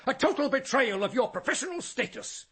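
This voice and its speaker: A bullying English headmaster in a radio drama, middle-aged and domineering. His gravelly, rough voice is pitched high for a man and swings with indignation as he lays down the law in clipped phrases. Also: expressive, brisk, bright, forceful tone, full character voice. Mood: outraged, scornful. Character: outraged, overbearing bullying male English boys' school headmaster.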